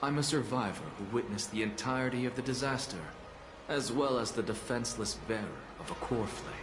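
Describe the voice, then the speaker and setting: A man's voice: medium pitch, brisk, echoey room, background noise, close to an everyday voice. A man voicing a haughty scholar professor in a video game, dry, self-assured and dismissive. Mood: aloof. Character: haughty scholar professor Anaxa, aloof and sardonic.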